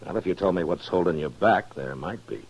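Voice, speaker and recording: An American actor playing a calm, stern frontier marshal in a 1950s radio western, speaking in a measured, terse way. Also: male voice, sits low, husky, exaggerated voice. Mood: calm, firm. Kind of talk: 1950s radio drama acting. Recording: dull 1950s radio recording.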